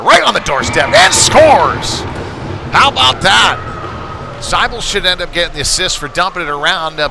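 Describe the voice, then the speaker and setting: A man doing live play-by-play of youth hockey in a casual, folksy, slightly hesitant way. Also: on the high side. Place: ice rink, live stream broadcast. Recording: clear rink noise behind the voice.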